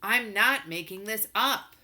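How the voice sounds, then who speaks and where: An insistent, precocious boy from a children's novel, played by a woman reader. The voice is forceful and very bright, and he argues his case stubbornly. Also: medium pitch, lively intonation, unhurried, pitched above the performer's own voice. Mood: heated. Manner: insistent, argumentative. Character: young boy Dashiell, precocious, stubborn moon-base kid.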